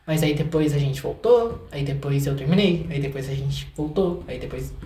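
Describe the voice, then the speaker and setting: An echoey room carries the voice of a young Brazilian man with a fairly flat, light delivery. He chats casually as an interview guest, informal and a little self-deprecating about himself. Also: a little high, moderate pace, slight background noise. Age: young adult. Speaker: interview guest, singer-songwriter. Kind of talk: casual chat.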